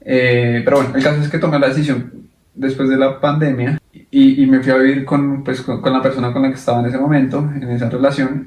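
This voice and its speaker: A man's voice: medium pitch, a little mellow, echoey room, distant mic. A young man co-hosting a podcast, speaking hesitantly with many fillers. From Colombia. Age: young adult. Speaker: podcast co-host. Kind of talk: casual conversation.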